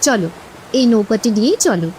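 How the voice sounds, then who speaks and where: A woman's voice: fairly high pitch, animated, moderate pace, a little mellow, a little hiss or hum, close to an everyday voice, rough recording. A woman voicing a kindly, persuasive but easily frightened village wife in a horror cartoon. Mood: reassuring. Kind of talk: Bengali horror cartoon dubbing.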